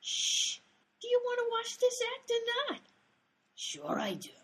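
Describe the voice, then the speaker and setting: A woman's voice: extremely high pitch, huge pitch swings, a little slow, bright, forceful tone, heavily acted. A woman voicing brassy, gossipy 1920s theatre spectators with slangy wisecracks in a radio comedy. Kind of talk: old-time radio comedy acting.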